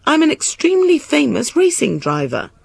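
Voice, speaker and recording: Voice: high-pitched, wildly swooping pitch, moderate pace, creaky, no echo, voice pushed up, heavily acted. A reader voicing an airy, self-satisfied storybook queen who is breezy and unapologetic. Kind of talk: storybook character voice.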